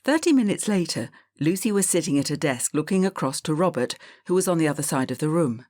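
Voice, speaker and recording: A woman narrating a children's audiobook in a calm, measured storytelling manner. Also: deep voice, dry recording, clean studio sound.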